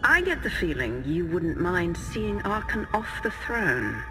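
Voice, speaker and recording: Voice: medium pitch, sing-song, unhurried. An actor voicing a cool, sardonic adventurer in a video game, wry and direct. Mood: probing. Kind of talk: dramatic video game acting. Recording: music behind the voice. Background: game score music.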